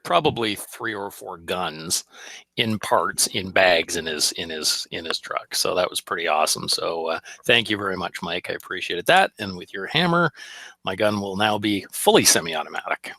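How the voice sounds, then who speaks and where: A man's voice: sits low, animated, moderate pace, gravelly, pushed and bright, no room sound. A Canadian man co-hosting a podcast, chatting casually with wry, sarcastic jokes. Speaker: podcast co-host. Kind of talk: casual banter about shooting.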